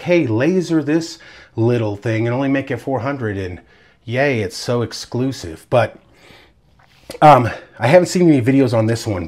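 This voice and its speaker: A man with a congested voice from a cold, hosting his channel and chatting loosely through a product review. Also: mid-range voice. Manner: loose and chatty, with fillers and asides. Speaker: firearms reviewer, channel host.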